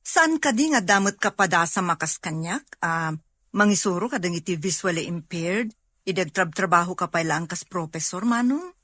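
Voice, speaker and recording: A woman's voice: lowish voice, animated, moderate pace, a bit bright, no room sound. A woman acting in an Ilocano radio drama as a younger sister putting pointed questions to her brother. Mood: questioning.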